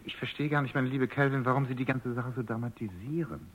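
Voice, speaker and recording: A man's voice: mid-range voice, fairly even intonation, fast talker, close to an everyday voice. An actor playing an urbane, middle-aged male scientist in a radio drama, talking in a jovial, wordy, slightly patronizing way. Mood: relaxed, reassuring. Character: urbane, amused, self-assured male scientist, somewhat condescending. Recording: dull, band-limited radio recording.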